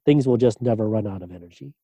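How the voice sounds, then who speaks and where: A man, a doctoral student, answering interview questions in a relaxed way, pausing often with fillers and restarts. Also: lowish voice, slightly rough, no echo. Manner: hesitant, many fillers and restarts.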